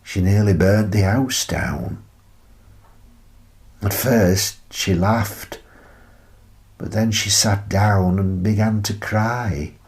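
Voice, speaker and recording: A male actor playing a wistful retired teacher and grandfather in his sixties, telling his story in a reflective, measured radio play monologue with pauses between phrases. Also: on the low side, fairly even intonation. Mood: sorrowful, tender.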